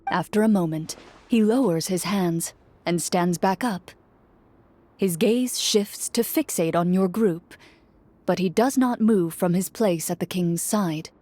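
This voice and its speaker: A woman reading a game story aloud in steady, measured narration. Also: lowish voice. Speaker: story reader.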